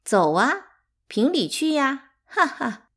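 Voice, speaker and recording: A woman's voice: sits high, big pitch swings, slow pace, fairly bright tone, voice pushed up, full character voice. A woman voicing a cold, mocking teenage maid girl in a storybook reading, brazen and taunting. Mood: smug taunting.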